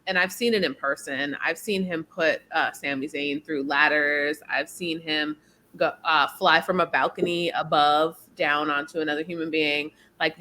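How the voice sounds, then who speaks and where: A woman co-hosting a wrestling podcast, giving measured, thoughtful opinions with frequent ums. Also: sits low, sharp tone, a little hiss or hum. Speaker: podcast co-host. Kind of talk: casual podcast chat.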